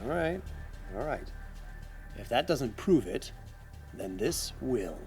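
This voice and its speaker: An actor playing a brisk, guarded, confident adventurer and heir in an audio adventure drama, with theatrical, expository delivery. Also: male voice, mid-range voice, big pitch swings, a little mellow, faint music behind. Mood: guarded, firm.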